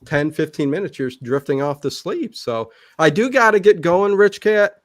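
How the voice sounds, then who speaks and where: A man chatting casually as a livestream guest, easygoing and self-deprecating, with halting phrasing. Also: on the high side, lively intonation. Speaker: guest who runs an old-time radio channel and trivia nights.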